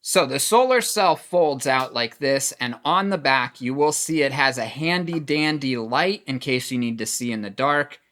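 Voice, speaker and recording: A man's voice: medium pitch, moderate pace, few pauses, fairly bright tone. Plain-spoken man reviewing a product. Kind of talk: casual product review demonstration.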